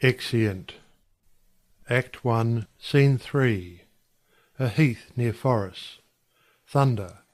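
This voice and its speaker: A man's voice: medium pitch, very slow, long pauses, a little mellow. A man narrating a play, reading out scene headings and stage directions in scripted audiobook style.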